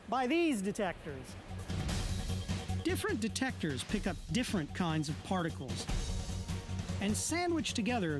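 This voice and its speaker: A man narrating a science documentary as the show's correspondent, explaining in a scripted way. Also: high-pitched. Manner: lively, explanatory delivery. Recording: narration over loud music.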